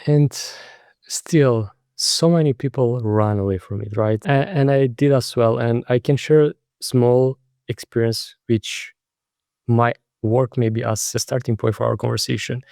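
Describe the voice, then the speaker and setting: A man's voice: mid-range voice, fairly even intonation, unhurried, creaky, darkish tone, dry recording, clean studio sound. A man from Romania with a Romanian accent, a non-native English speaker, hosting a podcast interview in a conversational way and sometimes searching for words. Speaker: podcast host.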